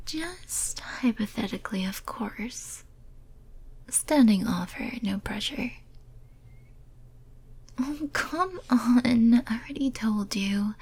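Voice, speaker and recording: A lonely, clingy female demon sealed in a cage, played by a woman for an ASMR roleplay. The voice is an extremely breathy, soft whisper with a dark, mellow tone. The delivery is slow, hesitant and tenderly pleading. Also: medium pitch. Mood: pleading, defensive.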